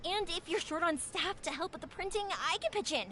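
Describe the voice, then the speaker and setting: A woman playing an upbeat, kind big-sister type in a video game, young, energetic and encouraging. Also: very high-pitched, fairly quick, noisy background. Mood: determined, kind. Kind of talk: video game character dialogue.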